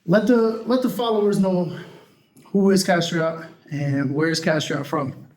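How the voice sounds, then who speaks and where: A man's voice: sits high, a little slow, darkish tone. A man hosting a podcast interview, chatty and upbeat, with many ums. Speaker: podcast host.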